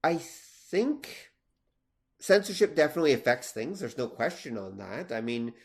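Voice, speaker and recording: Male co-host, average pitch, casual and explanatory, conversational podcast delivery. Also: sing-song, normal speed. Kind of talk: casual discussion.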